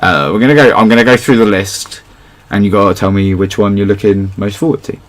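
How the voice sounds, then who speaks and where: A man's voice: on the low side, a little fast, low-quality recording. A man hosting a casual pop culture podcast, talking like a keen fan with friends. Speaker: podcast host.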